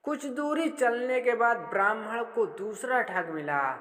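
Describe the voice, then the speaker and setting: A man with a notably high voice tells a children's tale at a slow, measured pace. It is a scripted narration, and long reverb trails each phrase. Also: expressive, sharp tone. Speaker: storyteller.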